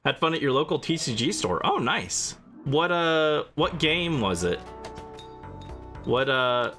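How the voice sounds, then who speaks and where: A man's voice: a little high, big pitch swings, unhurried, pushed and bright, soft background music. A man hosting a gaming livestream, chatting casually and playfully as he responds to his viewers. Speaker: livestream host.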